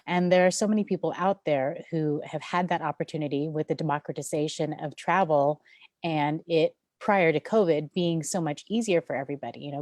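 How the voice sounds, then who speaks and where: A woman's voice: deep voice, a little mellow, no echo, studio quality. A woman guest in a casual video chat, speaking earnestly and enthusiastically. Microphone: video call.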